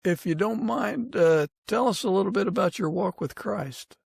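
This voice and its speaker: An American man interviews a guest in a relaxed, reassuring style. His voice is slightly high with plenty of fry. It is recorded dry, with little room sound. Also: normal speed, no echo. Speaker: podcast host, interviewer. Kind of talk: casual interview chat.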